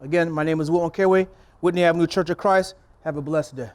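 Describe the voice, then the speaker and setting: A man's voice: fairly high pitch, fairly even intonation, quick pace, a little mellow. A man from a church speaking earnestly to an audience about mentoring children. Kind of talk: encouraging public speech.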